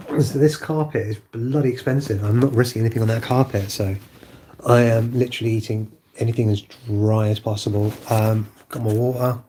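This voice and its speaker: A man vlogging from home while unwell, his voice lowered by illness, rambling and hesitant with many fillers. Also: mellow. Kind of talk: casual monologue to camera. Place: at home indoors.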